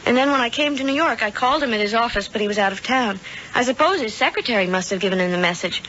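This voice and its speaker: Innocent, eager young woman played by an actress, earnest and polite, lightly high voice, muffled old radio drama. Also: quick pace, fairly bright tone, close to an everyday voice. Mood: apologetic. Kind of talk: 1970s radio drama acting. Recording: muffled, noisy vintage radio broadcast.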